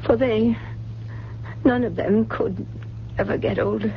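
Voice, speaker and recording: A woman's voice: on the high side, fairly even intonation, unhurried, natural voice. An actress playing a wealthy, forgetful, grief-haunted widowed mother in a radio mystery drama, with a genteel, drifting manner. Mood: grieving. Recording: dull, hissy 1970s radio recording.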